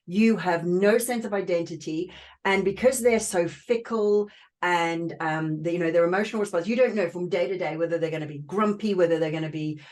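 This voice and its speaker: A woman with an Australian accent softened by British vowels speaks in a lowish, even voice. She explains things earnestly as an interview guest, pausing on fillers and restarting her sentences. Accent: Australian with a British touch. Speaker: podcast guest, trauma expert. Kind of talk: casual interview talk.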